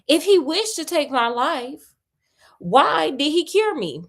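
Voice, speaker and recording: A woman's voice: high-pitched, very animated, slow pace, fairly bright tone, pitched above the performer's own voice, exaggerated voice. A woman storyteller voicing a loyal, firm storybook king with emphatic, formal delivery. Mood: firm, indignant.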